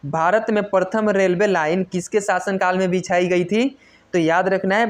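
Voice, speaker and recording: A woman teaches in a quiz-style exam lesson, reading out questions and stressing each answer repeatedly in an instructional way. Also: on the low side. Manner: instructional, repetitive emphasis on key answers. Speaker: exam coach.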